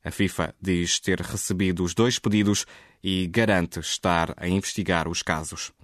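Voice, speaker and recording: Deep-voiced newsreader man. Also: no room sound, clean studio sound. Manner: steady, measured delivery. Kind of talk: scripted radio news reading.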